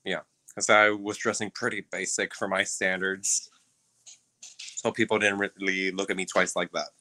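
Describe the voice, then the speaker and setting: A man from Puerto Rico speaks as a guest on a video call, answering briefly and easily. His voice is very low yet bright. The sound is dry and close, with no room echo. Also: little pitch movement, moderate pace. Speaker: guest, go-go dancer. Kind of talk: casual video-call interview.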